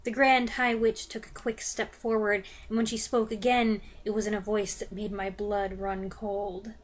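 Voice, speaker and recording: A woman giving an expressive dramatic reading of a children's book, with joking asides. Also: mid-range voice, moderate pace. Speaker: reader.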